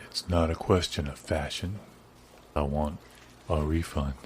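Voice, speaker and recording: A man playing a dogged, exasperated customer in improvised audio comedy, deadpan and insistent. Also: extremely low pitch, a little slow. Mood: dry, insistent. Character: dogged, exasperated male customer demanding a refund. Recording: clear background ambience noise.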